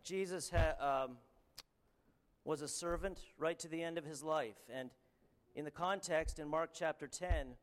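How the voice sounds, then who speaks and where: Canadian man preaching earnestly, halting delivery. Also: a little high. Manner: earnest, halting, with frequent ums and restarts. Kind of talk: sermon with scripture reading.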